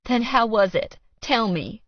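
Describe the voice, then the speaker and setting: A woman's voice: a little high, lively intonation, unhurried, dry recording, dull audio, pitched above the performer's own voice. A woman narrator voicing a hurt, angry young woman with a quavering, accusing delivery in an audiobook romance. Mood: furious, hurt. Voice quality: wobbly, quavering. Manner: emotional, accusing, crying out. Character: hurt, angry young woman confronting her lying lover.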